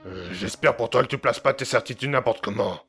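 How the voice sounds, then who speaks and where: A man's voice: mid-range voice, a bit bright, faint music behind, lightly acted. An actor playing a crude, slangy young man who gets defensive. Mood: scheming. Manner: slangy, crude, quick-tongued. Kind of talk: amateur audio drama.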